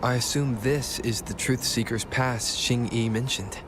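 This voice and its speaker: An actor playing a calm young male adventurer in a game, speaking in a plain, matter-of-fact way. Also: medium pitch, a little slow, noisy background, natural voice. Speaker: player character in a game. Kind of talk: scripted game dialogue. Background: game music.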